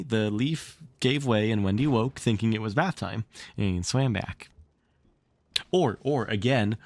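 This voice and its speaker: A man reading a children's story aloud on a livestream in an expressive, theatrical way, with casual asides to the chat. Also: lowish voice, no room sound.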